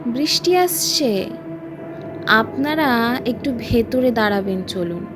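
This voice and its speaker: An ancient, stooped old caretaker from a ghost story, acted out by a woman, cryptic and foreboding. The words are drawn out very slowly and haltingly, like ominous warnings, over faint music. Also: a little high, expressive, voice pushed down. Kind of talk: horror audio story acting.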